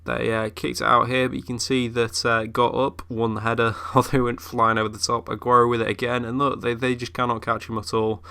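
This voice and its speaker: A man's voice: mid-range voice, flat delivery, a little fast, vocal fry, few pauses, pushed and bright, smooth. A young man who is ill, chatting casually through game commentary with lots of fillers. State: ill, voice a bit off. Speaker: gaming YouTuber.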